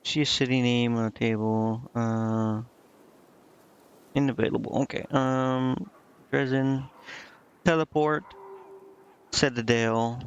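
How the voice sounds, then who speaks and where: A man's voice: mid-range voice, steady tone, slow pace, long pauses, smooth. A man streaming a game, giving casual, informal commentary and reading aloud, often muttering to himself. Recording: muffled lo-fi stream audio over game music. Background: game music.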